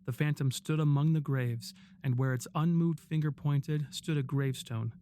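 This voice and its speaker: A man's voice: a little high, normal speed, dark tone. A man reading scripted narration in a radio play.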